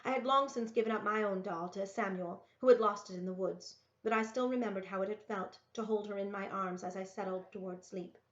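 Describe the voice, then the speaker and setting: A woman's voice: mid-range voice, fairly quick, few pauses, fairly bright tone, echoey room. A woman reading a first-person story aloud as a storybook narrator. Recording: slightly dull lo-fi recording.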